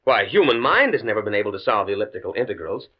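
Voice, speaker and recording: A man's voice: fairly low pitch, big pitch swings, rapid-fire. A man playing an earnest, absent-minded professor in his thirties, in 1950s radio drama style. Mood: proud, enthusiastic. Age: 30s. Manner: hesitant, stammering, preoccupied. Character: earnest absent-minded young male professor, flustered and enthusiastic. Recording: dull, muffled old radio recording.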